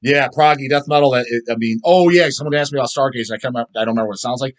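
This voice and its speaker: A man's voice: mid-range voice, racing. A middle-aged man hosting a casual video, rambling through his opinions in a blunt, crude, emphatic way with heavy swearing. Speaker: host answering viewer comments.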